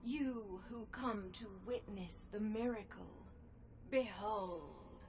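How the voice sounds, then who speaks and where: Game villainess: haughty, elegant duelist, extremely unhurried theatrical delivery, noticeable ambience behind the voice. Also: female voice, medium pitch, a little mellow, a little hiss or hum. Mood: solemn, proud. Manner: theatrical, ornate, deliberate phrasing. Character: proud, elegant swordswoman, eldest sister of embroiderers. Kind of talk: dramatic video game voice acting.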